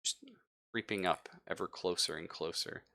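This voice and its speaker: A man's voice: low voice, moderate pace, bright, forceful tone. A man acting as game master, narrating a live tabletop roleplaying scene in suspenseful second person.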